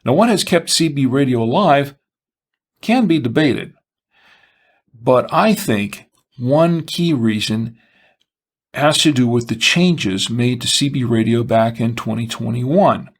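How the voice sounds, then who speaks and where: A man presenting explanatory product commentary, speaking in measured, deliberate phrases with pauses. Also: medium pitch, slightly rough. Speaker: presenter of a two-way radio show.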